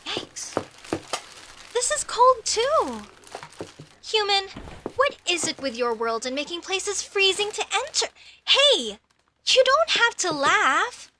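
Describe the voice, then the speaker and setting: A young elvish mage voiced by a woman speaks in a high, swooping voice at an unhurried pace. She is outspoken and curious, questioning everything and quick to defend herself proudly, in the style of a comedic audio roleplay. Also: sing-song, sharp tone, a little hiss or hum, full character voice. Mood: flustered, defensive.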